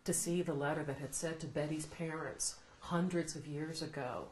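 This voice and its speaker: Middle-aged American woman, low voice, thoughtful interviewee; roomy echo, light hiss. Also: a little mellow. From United States. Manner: thoughtful, measured, laughs often. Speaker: law professor, interviewee. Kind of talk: reflective interview answers. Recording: roomy echo, faint noise.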